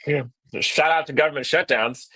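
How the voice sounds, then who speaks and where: Slightly hoarse man with a bright voice. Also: a little high, fairly even intonation. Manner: quick, joking, chatty. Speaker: podcast co-host. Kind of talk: casual sports podcast chat. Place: hotel business center. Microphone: laptop on video call.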